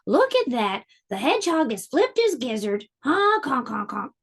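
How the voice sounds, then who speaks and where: Noisy honking goose, storybook, high and jumpy; woman reader. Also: big pitch swings, moderate pace, breathy edge, exaggerated voice. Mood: mocking. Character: noisy gander, laughing at others.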